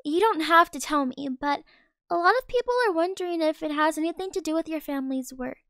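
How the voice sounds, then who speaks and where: A woman's voice: sits high, fairly quick, fairly bright tone, no echo, clean studio sound. A young female voice actor playing a snappy, sassy teenage schoolgirl in an amateur game movie.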